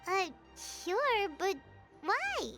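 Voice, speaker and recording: A woman voicing a tiny floating fairy companion in a video game, childlike, squeaky, curious and excitable, speaking of herself in the third person. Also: very slow, soft background music, heavily acted. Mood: startled. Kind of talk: video game character acting.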